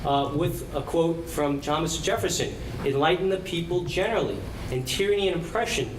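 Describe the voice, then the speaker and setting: Guy candidate ranting at a debate, really high voice, indignant, echoey with some noise. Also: brisk. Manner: indignant, rhetorical questions. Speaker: political candidate. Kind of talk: impassioned debate speech. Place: college auditorium public debate. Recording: echoey hall, background noise.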